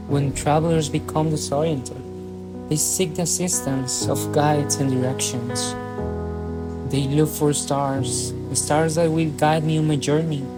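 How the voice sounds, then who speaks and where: A young man playing a pensive, conflicted bachelor in his thirties in a radio drama, speaking in an earnest, measured way. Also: on the high side, natural voice. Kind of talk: radio drama acting, reflective monologue. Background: prominent music.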